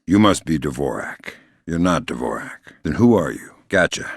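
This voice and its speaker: A man playing a middle-aged, dry-witted, gruff covert operative in a video game, deadpan, sardonic and quietly menacing. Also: very deep voice, a little slow, no echo, heavily acted. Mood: focused, terse. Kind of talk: video game voice acting, isolated dialogue lines.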